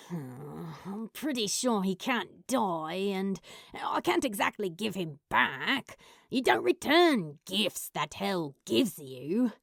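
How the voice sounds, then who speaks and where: A woman's voice: medium pitch, big pitch swings, slow pace, vocal fry, no room sound, clean studio sound, full character voice. A woman voicing a sardonic, exasperated male demon in an audio story, grumbling as he talks. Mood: resigned. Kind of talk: audio fanfiction character acting.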